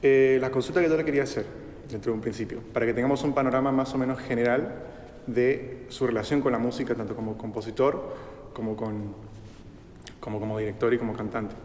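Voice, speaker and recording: A man's voice: medium pitch, steady tone, a little fast, darkish tone. A man interviewing a guest, asking long polite questions full of fillers. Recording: echoey room with hall reverb, faint noise.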